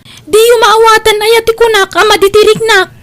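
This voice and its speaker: A woman's voice: extremely high pitch, level tone, fairly quick, a bit bright. A woman playing a lazy, defiant young wife in an audio drama, speaking with an Ilocano accent. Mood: sulky, defiant.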